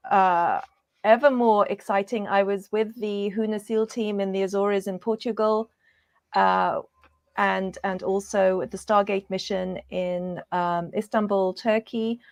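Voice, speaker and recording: A woman from the UK introducing herself as an interview guest, talking casually in an earnest, halting manner. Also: medium pitch, steady tone, dry recording, studio quality. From born and raised in the UK. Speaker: guest, coach.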